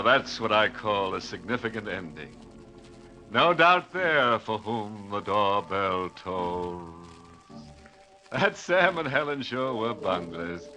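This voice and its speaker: A ghoulish horror host relishes the macabre with sardonic glee. The delivery is slow and drawn-out in a medium-pitched voice, with music behind it on an old radio broadcast. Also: male voice, sharp tone. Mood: gleefully morbid. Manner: sardonic, drawn-out, savoring morbid puns. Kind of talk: 1940s-50s radio horror hosting.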